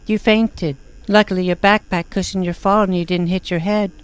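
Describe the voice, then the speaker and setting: A woman's voice: medium pitch, fairly even intonation, normal speed, smooth, natural voice. A woman playing a kindly, matter-of-fact school nurse in a comedic audio drama. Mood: calm, reassuring.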